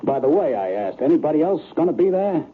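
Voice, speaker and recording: A man's voice: fairly high pitch, very animated, fast talker. An actor playing an anxious, blunt businessman in an old radio drama, talking in an agitated, colloquial way. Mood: nervous, resigned. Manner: agitated, informal, emphatic. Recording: narrow, noisy vintage radio broadcast.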